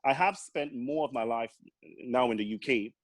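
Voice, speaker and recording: A man's voice: medium pitch, normal speed, dry recording, clean studio sound. A man from Grenada with a Caribbean accent, chatting earnestly as a guest, often restarting his words. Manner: earnest, with many repeated restarts. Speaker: guest, accountant. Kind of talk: casual interview chat.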